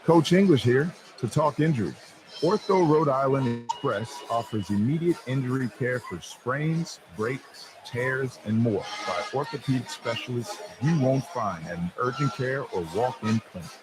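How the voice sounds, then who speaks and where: A man's voice: mid-range voice, lively intonation, moderate pace, noisy background. A man reading a scripted radio advertisement as a spokesman. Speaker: commercial spokesman. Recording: voice over loud music bed. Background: loud music.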